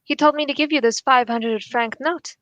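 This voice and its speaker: A woman playing a calm, courteous male detective in a formal, measured radio drama style. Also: sits high, dry recording, muffled recording. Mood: discreet, obliging. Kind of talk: 1940s radio drama acting.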